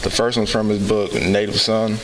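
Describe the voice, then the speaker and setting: A man's voice: fairly low pitch, little pitch movement, moderate pace. A young man reading prose aloud at a university read-in, hesitant with many ums as he introduces it. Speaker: student reader. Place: university event hall. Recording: hissy event recording with long hall reverb.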